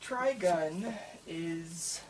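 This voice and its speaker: A person doing a casual ASMR roleplay as a shop worker, chatting in a rambling, halting, enthusiastic way. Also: medium pitch, animated, background noise. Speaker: manga store worker in a roleplay. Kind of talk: ASMR roleplay, casual customer-service chat.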